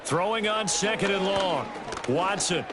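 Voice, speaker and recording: A man calling play-by-play football in a brisk, crisp game-commentary style. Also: sits high, animated, heavy background noise. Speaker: play-by-play announcer. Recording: studio voice with game music behind. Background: game music.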